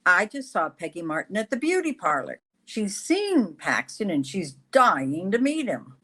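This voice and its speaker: A woman plays a pushy matchmaking friend in a vintage radio sitcom. Her mid-pitched voice is bright and brassy, with lively, needling inflection. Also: unhurried, exaggerated voice. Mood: needling, scheming. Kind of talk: re-created 1940s radio sitcom acting.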